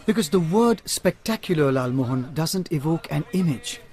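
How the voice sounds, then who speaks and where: An actor playing a brilliant, dry-witted male private investigator, measured and articulate, in a radio drama. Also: fairly high pitch, animated, a little mellow, faint music behind. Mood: dry, cool.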